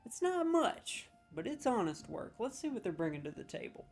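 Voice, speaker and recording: A man's voice: sits high, sing-song, moderate pace, vocal fry. Sarcastic man mock-judging with faint music. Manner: sarcastic, mock-serious, playfully exaggerated. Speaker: comedic judge scoring game voice lines. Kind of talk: scripted comedy commentary.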